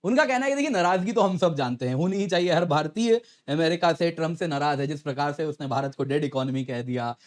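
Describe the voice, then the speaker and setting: High-pitched man explaining news. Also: expressive, fast talker, few pauses. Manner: conversational, rhetorical questions, addresses viewers as friends. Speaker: current affairs educator and presenter. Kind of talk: explanatory news commentary to viewers.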